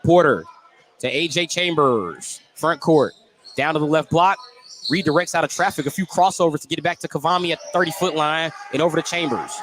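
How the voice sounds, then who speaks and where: A male play-by-play commentator with a fairly high voice calls basketball live on radio. He narrates each movement in descriptive detail, with some gym noise in the background. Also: brisk, fairly bright tone. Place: courtside broadcast spot in a school gym.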